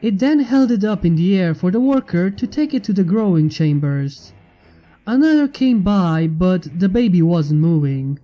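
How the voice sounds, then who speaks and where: A man's voice: sits high, animated, moderate pace, highs cut off. A man reading a fan fiction story aloud as scripted narration. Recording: music bed behind the narration.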